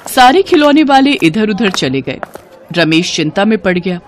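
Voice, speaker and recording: A woman's voice: medium pitch, sing-song, normal speed. Woman narrating a children's story, faint noise.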